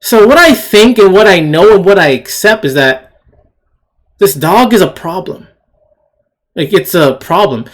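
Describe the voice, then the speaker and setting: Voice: medium pitch, expressive, unhurried, long pauses. A person giving an indignant, opinionated commentary, emphatically repeating phrases and asking rhetorical questions. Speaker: video commentator. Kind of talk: opinionated rant commentary on shared screen clips.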